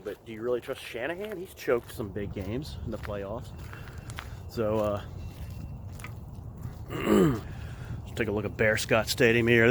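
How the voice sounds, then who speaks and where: Man, cold-roughened voice, casual halting vlog talk on a walk outside; faint ambient noise, drifts off-mic at times. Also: on the low side, a bit bright. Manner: rambling, halting with many fillers. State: hoarse from a cold. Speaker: vlogger giving football picks. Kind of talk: casual outdoor vlog chat. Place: outdoors at an empty field in winter.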